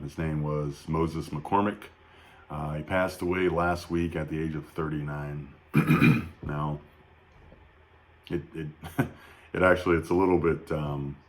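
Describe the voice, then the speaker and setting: A man in his thirties speaking off the cuff in a somber, reflective video tribute, halting often with fillers and restarts. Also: rumbling low. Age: 30s. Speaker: YouTube vlogger. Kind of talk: unscripted personal tribute.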